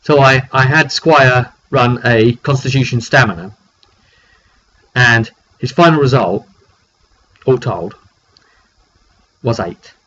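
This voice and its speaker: A man giving an informal, dramatic recap of a tabletop roleplaying session as game master, telling it like an animated story. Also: medium pitch, slow, long pauses. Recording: dull lo-fi mic with background noise.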